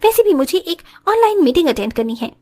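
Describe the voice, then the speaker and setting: An actress plays a spoiled young career woman in a Hindi moral cartoon. Her voice sits very high and swings sharply up and down, full of scorn and money pride, with English phrases thrown in. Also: big pitch swings, a little fast, dark tone. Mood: haughty. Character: haughty, spoiled young working daughter-in-law who flaunts money. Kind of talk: moral story cartoon acting.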